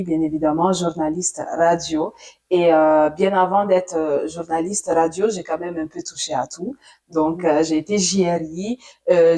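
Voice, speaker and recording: Femme née en Italie, récit posé et hésitant. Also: female voice, lowish voice, dark tone. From Italy. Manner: thoughtful, many fillers, laughs easily. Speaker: radio journalist, guest. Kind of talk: interview, personal story.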